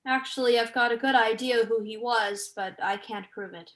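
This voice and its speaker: Bookish female researcher for stage drama, played by a woman; dry, questioning, slightly high pitch. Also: fairly even intonation, normal speed, fairly bright tone, close to an everyday voice. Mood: dry, reproachful. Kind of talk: staged play reading.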